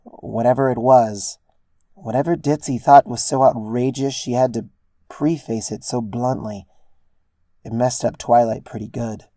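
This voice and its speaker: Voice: medium pitch, steady tone, measured pace, dark tone, dry recording. A narrator reading a first-person story as scripted narration. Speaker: fan fiction narrator.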